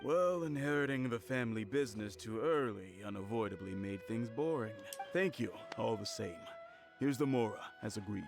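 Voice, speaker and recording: A man's voice: fairly low pitch, normal speed, creaky, over music. A man voicing a rich, mild young male innkeeper in a video game, polite and earnest.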